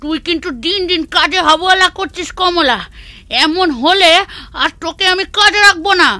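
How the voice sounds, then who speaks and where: A woman's voice: very high voice, normal speed, pushed and bright, dry recording. A woman voicing a stern, haughty mistress of a household who scolds, in a children's cartoon. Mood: scolding.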